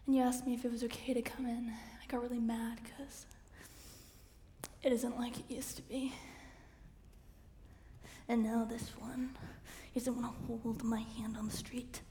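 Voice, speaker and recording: A woman performing spoken word in a hushed, breathy whisper. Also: a little high, level tone, unhurried. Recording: long reverb, faint background noise.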